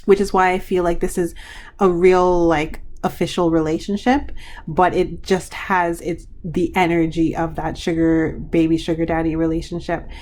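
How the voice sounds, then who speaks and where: A hoarse woman giving a pick-a-card reading to her viewers, talking frankly with frequent restarts. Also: lowish voice, little pitch movement, normal speed, a little mellow, a little hiss or hum. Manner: frank, chatty, trailing restarts. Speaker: tarot card reader.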